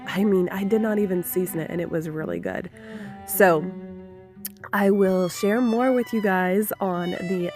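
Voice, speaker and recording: A woman who is getting over a cold, narrating a casual home cooking vlog in a chatty, rambling way full of fillers and restarts. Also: on the low side. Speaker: homestead vlogger. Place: home kitchen.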